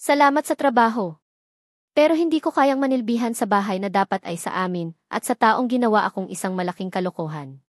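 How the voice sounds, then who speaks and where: A woman's voice: mid-range voice, fairly quick, no echo, clean studio sound, close to an everyday voice. A woman voicing a hurt, proud young maid in a story, emphatic and accusing. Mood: cold, hurt.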